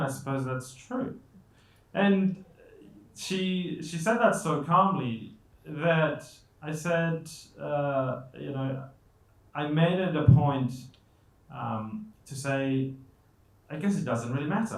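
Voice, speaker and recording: Man from Colombia, guest speaker, conversational, rhetorical questions, self-deprecating humour, medium pitch, echoey room. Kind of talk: conversational motivational talk to an audience. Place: indoor event stage.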